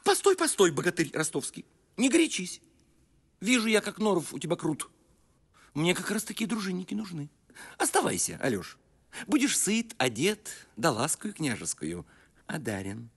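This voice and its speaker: An actor playing a sly, pompous, greedy prince in an animated film, with a raspy, theatrical, mock-gracious delivery. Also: male voice, high voice, normal speed, full character voice. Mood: placating.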